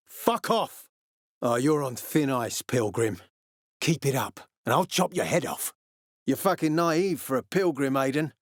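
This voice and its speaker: Game role: gruff military commander, male actor, suspicious, curt and threatening, profane streak, recorded dry. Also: mid-range voice, no room sound, close to an everyday voice. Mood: contemptuous threat. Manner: terse, menacing, sardonic, profane. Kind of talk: video game character dialogue.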